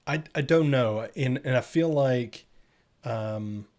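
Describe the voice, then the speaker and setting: A man's voice: medium pitch, slow, darkish tone. A man co-hosting a tech news show, talking casually and unscripted as he explains things step by step.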